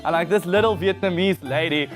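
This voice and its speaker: A young man from South Africa talks with an Afrikaans accent, chatty and easygoing. He laughs often and leans on "like" as he goes. Clear music plays behind his voice. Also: sits high, brisk. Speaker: guest. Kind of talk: casual interview chat.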